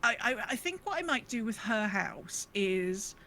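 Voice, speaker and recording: A woman's voice: on the high side, very animated, a little slow, pushed and bright, slight background noise. A woman co-hosting a podcast, chatting casually about gaming in a rambling, self-correcting way. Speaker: podcast co-host.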